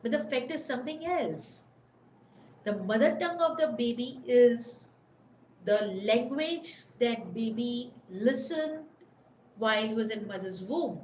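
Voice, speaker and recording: A high-voiced woman gives an informal lecture, circling back and repeating phrases as she searches for words. The recording is roomy, with a faint hum of ambience underneath. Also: expressive, unhurried. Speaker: webinar presenter.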